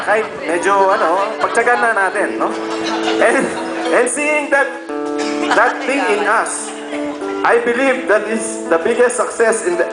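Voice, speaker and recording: A Filipino man, a native Tagalog speaker, reading aloud to an audience as a playful master of ceremonies with teasing asides. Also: sits high. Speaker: master of ceremonies at a class reunion. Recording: loud music and crowd noise behind. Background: background music and a lively crowd.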